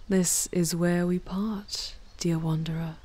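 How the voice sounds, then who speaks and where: A woman voicing an ancient female demon in an ASMR roleplay, soft and breathy, often near a whisper, speaking formally with tender, wistful slyness. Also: on the low side, fairly even intonation, slight background noise. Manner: slow, formal, tender farewell speech. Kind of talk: ASMR audio roleplay, intimate scripted monologue.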